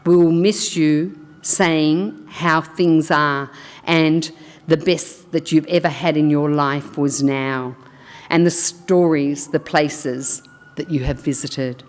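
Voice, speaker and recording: A woman acting as funeral celebrant, reading a tribute aloud in a slow, measured, solemn way. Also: low-pitched, fairly even intonation. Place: funeral service hall. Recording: long hall reverb.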